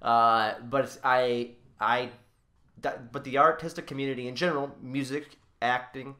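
A young man with a bright, forceful voice hosts a loose comedy podcast. He banters casually, with a crude, profane streak, in a medium-pitched voice. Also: fairly even intonation, moderate pace. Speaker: podcast co-host.

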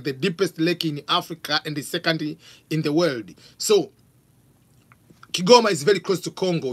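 A man in his forties from Tanzania with a Tanzanian accent, hosting a live question-and-answer stream from a home office on a studio mic, reading out viewer questions. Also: fairly high pitch. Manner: animated, gestures a lot, reads out questions. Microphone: studio mic on stand with pop filter.

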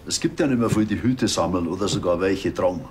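A man's voice: low voice, fast, a little mellow, close to an everyday voice. A man playing a plain-spoken small-town hat maker in a TV crime comedy, with a Bavarian accent and a matter-of-fact, colloquial manner. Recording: some background ambient noise.

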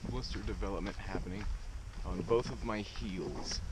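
A man's voice: low voice, moderate pace. A young man vlogging on a hiking trail, easygoing and joking at himself, into a camera held at arm's length. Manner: easygoing, self-deprecating, laughs at himself. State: winded on climbs. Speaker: thru-hiker vlogging. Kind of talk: casual vlog to camera. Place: outdoors on a mountain trail. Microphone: action camera held at arm's length. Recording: outdoor camera mic with background noise.

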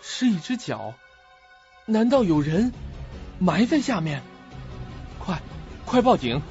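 A man's voice: sits high, big pitch swings, takes it slowly, exaggerated voice. An actor playing a troubled, protective young male student in an audio drama, on a lo-fi recording. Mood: alarmed. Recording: dull lo-fi recording with music.

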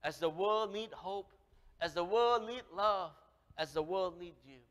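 A man in his thirties with a Cambodian accent, preaching a lively sermon with big swings from loud calls to soft words. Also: high voice, unhurried. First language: Khmer. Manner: animated, gesturing, swings from loud exclamation to soft. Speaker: pastor. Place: church sanctuary, large, indoors. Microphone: headset. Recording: long hall reverb.

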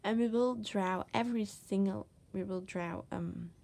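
A woman with a Dutch accent, a native Dutch speaker, giving a casual drawing tutorial as she works, soft-spoken and hesitant, searching for words between many fillers. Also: medium pitch, measured pace, vocal fry. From Netherlands. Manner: soft-spoken, often drops to a whisper. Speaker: art tutor. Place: desk, indoors.

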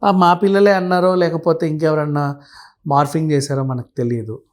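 A man's voice: a little high, fairly even intonation, a little fast. Blunt man explaining things conversationally. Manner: blunt, repeatedly checks the listener understands. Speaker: commentator guest. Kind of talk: animated conversational explanation with acted quotes.

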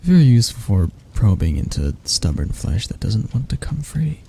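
A man playing a seductive, doting yet predatory castle monster in an intimate ASMR roleplay, close to the mic with a husky, gravelly voice and a slow, teasing manner. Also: on the low side, big pitch swings, a little hiss or hum. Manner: slow, teasing, coaxing, with soft chuckles. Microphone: close microphone.

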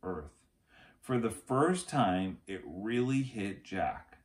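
A man in his forties narrates a children's chapter book. He takes his time, with a slightly low voice that swings expressively through the sentences. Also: animated, takes it slowly. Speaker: read-aloud storyteller. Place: small furnished room at home, indoors.

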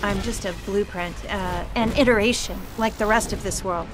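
An actress playing a gentle, earnest young heroine in a video game, sincere and conversational. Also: female voice, mid-range voice, steady tone, moderate pace, lightly acted. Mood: apologetic, kind. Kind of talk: video game cutscene acting. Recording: game music behind.

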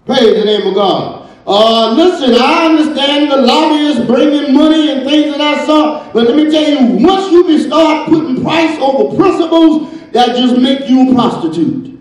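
A middle-aged man preaching a sermon from a church pulpit into a handheld microphone, punctuating every phrase with "uh" and "praise the name of God," often shouting. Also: extremely high pitch, animated, moderate pace. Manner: shouting, gesturing with raised hand. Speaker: pastor. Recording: very echoey church hall, from a distance.

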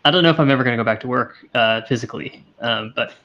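A man's voice: mid-range voice, quick pace, muffled recording. A man co-hosting a casual coding chat, a little congested and sniffly, talking thoughtfully with frequent restarts over a headset on a video call. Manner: thoughtful, hesitant, many restarts. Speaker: co-host of a game dev stream.

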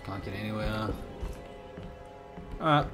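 A young man streaming a horror game, chatting casually and reacting in a jumpy, excitable way, muttering to himself. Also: fairly low pitch, unhurried. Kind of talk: casual gameplay commentary. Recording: game music playing behind voice.

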